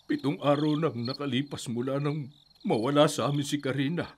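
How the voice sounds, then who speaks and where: A man's voice: a little high, fairly even intonation, a little fast, a little hiss or hum, natural voice. A man playing a grieving middle-aged father who speaks in a somber, subdued way in a radio drama.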